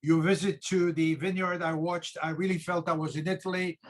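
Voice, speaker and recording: A man hosting an interview, introducing his guest in a formal, deliberate way. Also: a little high, flat delivery. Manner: formal, listing praise deliberately. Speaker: host.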